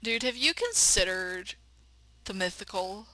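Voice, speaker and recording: A woman's voice: medium pitch, expressive, a little slow, pushed and bright, no echo, poor audio. A woman reading a game dramatically and adding casual, slangy, irreverent commentary as a let's play host.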